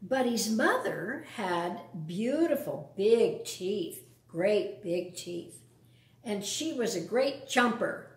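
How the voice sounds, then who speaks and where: A woman's voice: medium pitch, lively intonation, slow pace, echoey room. A woman reading a picture book aloud to young children, adding casual explanations and questions. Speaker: storytime reader.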